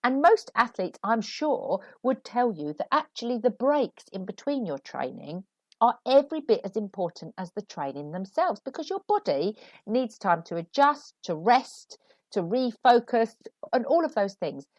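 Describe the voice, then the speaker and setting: A woman hosts a solo podcast and talks through writing tips in a chatty, animated way. She is recovering from a cold and sounds a little sniffly. Her pitch rises and falls a lot, and the recording is dry and close. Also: normal speed, no echo, lo-fi sound. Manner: chatty and animated, laughing asides. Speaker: podcast host and author. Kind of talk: solo podcast advice talk.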